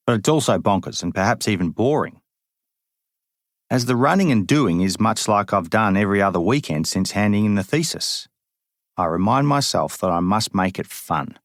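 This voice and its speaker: A man reading a first-person memoir aloud as an audiobook, in an even, measured way with dry humour. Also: fairly low pitch, no echo. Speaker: audiobook reader.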